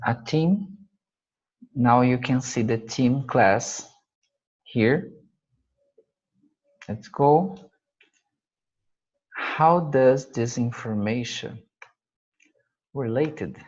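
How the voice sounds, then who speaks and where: A man from Brazil with a Brazilian Portuguese accent, a native Portuguese speaker teaching math over slides, halting and searching for his English words with frequent fillers. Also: medium pitch, darkish tone, dull audio. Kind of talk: slide-based math lesson.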